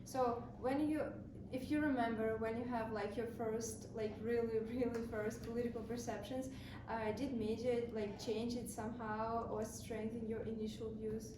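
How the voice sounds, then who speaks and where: A woman's voice: a little high, level tone, normal speed. Young woman interviewer, echoey distant sound. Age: young adult. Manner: slightly non-native phrasing, encouraging mm-hmms. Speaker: student interviewer. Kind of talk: interview questions. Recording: roomy, distant mic, noisy.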